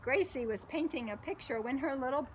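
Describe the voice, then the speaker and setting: A woman's voice: sits high, a little hiss or hum. A woman hosting a children's story time, reading aloud expressively and speaking directly to young listeners. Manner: slow, expressive, addresses child listeners with questions. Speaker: storybook reader and host.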